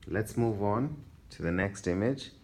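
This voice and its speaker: A man with a Kenyan accent, from Kenya, talking through his photographs in a relaxed gallery talk. His voice sits very low and he speaks slowly, halting now and then with fillers and soft little laughs. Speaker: photographer presenting his exhibition.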